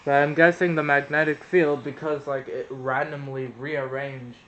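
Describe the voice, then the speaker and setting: A man's voice: medium pitch, little pitch movement, normal speed, a bit bright, muffled recording. Man thinking aloud in an echoey room. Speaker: presenter of an experiment video. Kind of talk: casual hands-on demonstration, thinking aloud. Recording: roomy echo, noticeable background noise.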